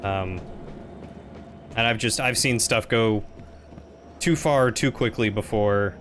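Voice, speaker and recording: A man streaming a game live, chatting casually with a loud, projecting voice that he keeps lighter and friendlier than his natural deep baritone. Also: slow, a little hiss or hum.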